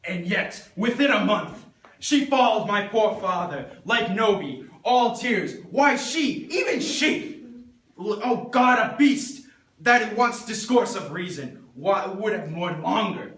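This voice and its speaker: A man as shrill, overwrought grieving young prince. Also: expressive, takes it slowly, fairly bright tone, exaggerated voice. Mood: agitated. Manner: exclamatory, stumbling over words. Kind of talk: hammy amateur Shakespearean recitation. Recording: echoey room, distant mic.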